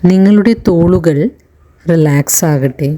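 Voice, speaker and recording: A woman leading a guided meditation in a calm, soothing narration, leaving long pauses between phrases. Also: lowish voice. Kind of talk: slow guided relaxation narration.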